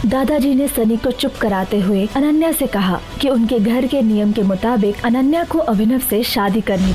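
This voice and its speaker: A woman's voice: a little high, a little mellow. A woman giving scripted dramatic narration for an audio story.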